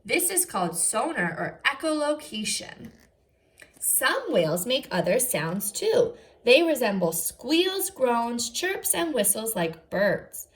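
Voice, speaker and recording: A woman's voice: a little high, sing-song, takes it slowly. A woman teacher reading aloud to young children, enunciating carefully and adding short explanatory asides. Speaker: first grade teacher.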